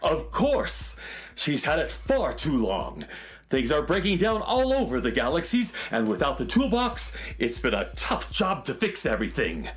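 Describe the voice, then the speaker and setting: An excitable space handyman. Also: fairly high pitch, unhurried. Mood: worried. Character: eager male space handyman, fussy and secretive. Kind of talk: children's radio drama.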